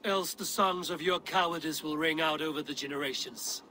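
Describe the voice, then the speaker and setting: An actor playing a bold, threatening Viking warrior in a video game, with a blunt and commanding delivery. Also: male voice, on the high side, normal speed, bright, forceful tone, slight background noise. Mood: blunt resolve. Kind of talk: dramatic video game acting.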